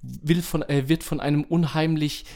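A man's voice: fairly high pitch, fairly even intonation, normal speed, vocal fry, a little mellow. A man hosting a podcast in casual conversation, speaking informally and with enthusiasm. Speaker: podcast host. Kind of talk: casual chat.

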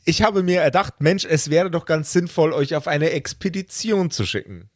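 A man with a slightly high voice is recorded very dry, with no room sound. He explains things as a game master in a casual, slangy and joking style. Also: animated, moderate pace, no echo. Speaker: game master of a role-play podcast.

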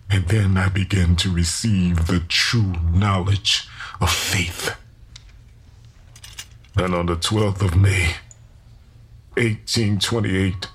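An actor playing a solemn, visionary enslaved preacher in his thirties, confessing deliberately in scriptural phrases with a gravelly, husky voice. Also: fairly low pitch, exaggerated voice. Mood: fervent, prophetic. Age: 30s. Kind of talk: dramatized first-person confession.

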